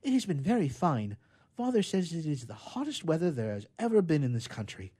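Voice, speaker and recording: Man doing a little storybook girl, timid, earnest, plaintive, high-pitched, animated. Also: brisk, breathy edge. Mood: patient. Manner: plaintive, eager.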